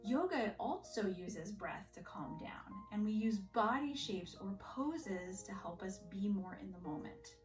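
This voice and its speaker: A woman's voice: medium pitch, expressive, a little slow, few pauses. A woman yoga teacher giving simple instructional talk to children, recorded in a roomy space away from the mic. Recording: roomy echo, distant mic, faint music.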